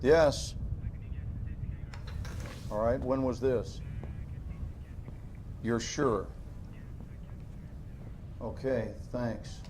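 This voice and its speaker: A calm, probing interrogator. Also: male voice, mid-range voice, a little hiss or hum. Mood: cool questioning. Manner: measured, dry, slightly sarcastic. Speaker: interrogating agent.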